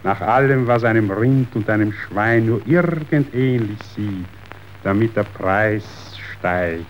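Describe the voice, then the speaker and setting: An actor playing a ruthless, grandiose meat tycoon in a declamatory, emphatic radio drama style. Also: male voice, mid-range voice. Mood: scheming, menacing. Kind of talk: 1930s epic theatre radio drama acting. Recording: dull, noisy old radio recording.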